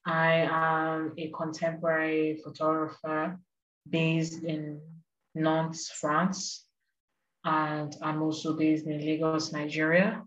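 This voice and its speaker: A woman's voice: monotone, takes it slowly, dull audio. A woman, a podcast guest and photographer, introducing herself thoughtfully over a video call. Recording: distant echoey low-fi call with faint noise.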